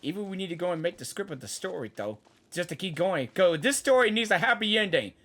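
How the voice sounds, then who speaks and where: A man giving casual gaming reactions in an excitable, repetitive, rambling manner. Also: high voice, fast. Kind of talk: casual live game reaction commentary.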